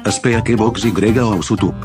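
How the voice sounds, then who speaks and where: Low male voice under loud music. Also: a little fast.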